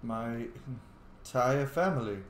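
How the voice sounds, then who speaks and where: A man of medium pitch doing casual gameplay commentary and role-play. His voice has clear room echo, with faint noise behind it. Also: slow pace. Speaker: gaming video host playing and voicing his character.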